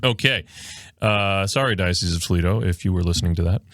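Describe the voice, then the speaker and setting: A man's voice: low-pitched, a little fast, a bit bright, slight background noise. A man from Miami who grew up speaking Spanish, hosting a podcast in a loose, joking way and reading viewer comments aloud while short on sleep. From Miami, Florida. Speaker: podcast host. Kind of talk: casual livestream chat. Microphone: studio microphone.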